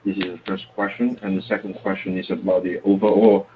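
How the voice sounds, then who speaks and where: A man's voice: low voice, little pitch movement, fairly quick. A man who is a native Chinese speaker, asking questions as an analyst on a conference call. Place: remote phone conference. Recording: dull conference-call line with faint noise.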